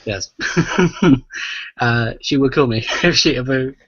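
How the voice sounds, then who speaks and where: A man's voice: mid-range voice, slow, fairly bright tone, slightly rough, no echo, dull audio. A man hosting a casual, sweary podcast in a playful, jokey way. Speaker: podcast host.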